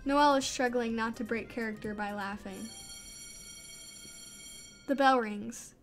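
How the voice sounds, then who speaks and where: A woman's voice: fairly high pitch, measured pace, soft background music. A woman reading a visual novel aloud as a gaming channel host, with chatty, amused asides.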